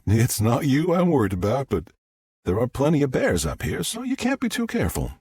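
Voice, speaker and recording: Male narrator as polite confident woman, Southern US accent, higher lilting pitch, dry sound, audiobook character. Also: huge pitch swings, fairly quick, darkish tone, dry recording, full character voice. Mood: candid, easy. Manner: smooth, polite, forthright. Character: confident, friendly young Southern businesswoman.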